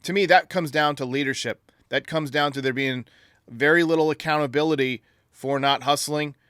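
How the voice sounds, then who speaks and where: A man's voice: a little high, normal speed, fairly bright tone, smooth, no echo, studio quality. A man hosting a sports podcast, giving opinionated, critical commentary in an emphatic manner. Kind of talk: sports commentary, answering listener voicemails.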